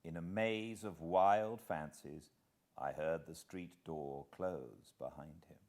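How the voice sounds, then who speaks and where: A British man narrating a literary audiobook in a measured, expressive way with dramatic pauses. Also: extremely low pitch. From Britain.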